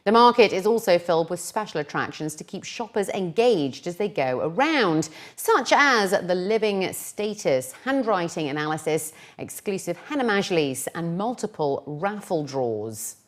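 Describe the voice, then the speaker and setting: A woman's voice: lowish voice, animated, normal speed, few pauses. A woman reading scripted television news as a presenter.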